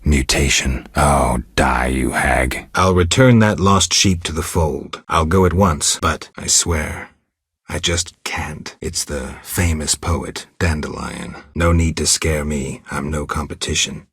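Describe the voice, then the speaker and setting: A gruff, stoic monster hunter for a video game. The voice sits extremely deep and is heavily rasped and husky. Lines come out dry, blunt and deadpan, in a close, dry recording. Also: male voice, takes it slowly, dry recording, heavily acted. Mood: curt, irritated, then level, terse, then muted, dry. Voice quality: low, gravelly, husky. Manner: deadpan, terse, restrained. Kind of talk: video game dialogue acting.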